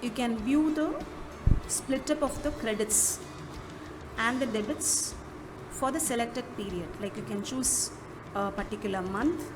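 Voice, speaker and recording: A woman presents a software walkthrough in an instructional manner, with halting phrasing. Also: fairly high pitch, background noise. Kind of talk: step-by-step app walkthrough narration. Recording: music behind the voice. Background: clearly audible music.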